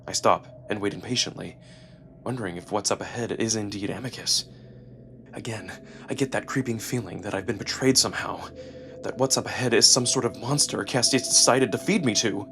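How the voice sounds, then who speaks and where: A man narrating a first-person story dramatically, with expressive, deliberate pauses. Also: fairly low pitch, background noise. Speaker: narrator and performer. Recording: music behind the voice. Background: music.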